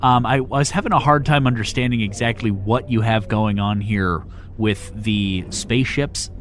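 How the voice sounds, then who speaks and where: A man playing an agreeable but doubtful programming executive, speaking haltingly and diplomatically in a casual meeting style. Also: lowish voice, a bit bright, lightly acted. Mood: puzzled. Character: hesitant, agreeable male streaming programming executive hearing pitches. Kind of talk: improvised-sounding mock meeting, conversational. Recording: noisy room ambience.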